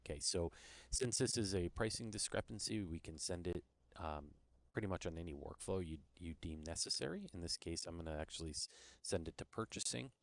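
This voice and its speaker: A man's voice: sits low, expressive, a little fast, vocal fry, no room sound. A man presenting an informal screen-share product demo, explaining things step by step.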